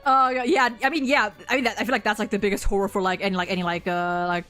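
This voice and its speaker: A woman hosting a livestream, chatting casually and excitably and swearing freely. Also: medium pitch, fast, soft background music. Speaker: streamer host.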